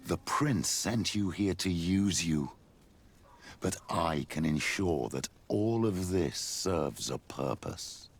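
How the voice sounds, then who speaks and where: A man's voice: deep voice, expressive, slow pace, full character voice. An actor playing a sly, ambitious old clergyman burdened by guilt, speaking formally and theatrically in a video game. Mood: agitated. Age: older. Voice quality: gravelly, husky. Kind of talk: video game cutscene acting.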